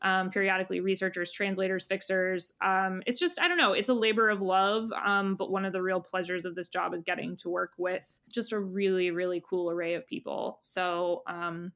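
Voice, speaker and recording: A woman's voice: fairly low pitch, normal speed, few pauses, a bit bright. A woman speaking as an interview guest, casual and earnest, rambling and often correcting herself. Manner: rambling, earnest, many hedges and self-corrections. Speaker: magazine editor-in-chief, interview guest.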